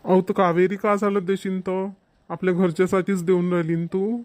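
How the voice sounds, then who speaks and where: A man's voice: sits high, little pitch movement, a little fast, a little mellow, no echo, close to an everyday voice. A man playing a broke village father, scolding yet coaxing, in a cartoon comedy with a Vidarbha Marathi accent.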